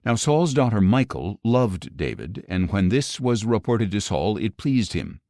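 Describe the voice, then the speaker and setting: Low-voiced man narrating scripture expressively. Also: very animated, measured pace, warm tone, no echo, clean studio sound. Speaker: audiobook narrator.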